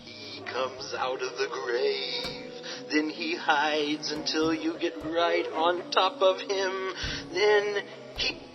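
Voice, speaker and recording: A sardonic young brother who mocks and teases, dropping into spooky whispers. His voice sits in a middle register. The radio drama sound is dull, with loud music beneath. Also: a little high, fairly even intonation, slow. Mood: spooky teasing. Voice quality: sneering, teasing spooky whispers. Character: mocking young man, brother, teasing and sardonic.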